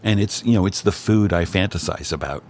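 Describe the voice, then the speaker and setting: Man from Cincinnati with a very low voice, dry wit, faint noise behind. Casual podcast guest. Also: fairly quick, a little mellow. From Cincinnati, Ohio. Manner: dry, self-deprecating humor. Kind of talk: casual chat.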